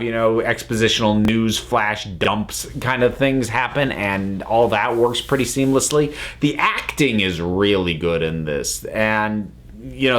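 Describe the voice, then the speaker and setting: A man's voice: medium pitch, fairly bright tone. A man giving an unscripted review as a commentator, talking analytically and often stopping to rephrase himself. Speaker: reviewer, channel host.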